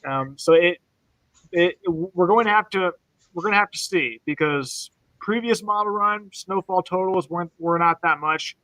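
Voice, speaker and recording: Bright high-voiced man, dry sound. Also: moderate pace, no room sound, low-quality recording. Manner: hesitant, restarts, then walks through maps. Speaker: weather co-host. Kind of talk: explaining forecast maps.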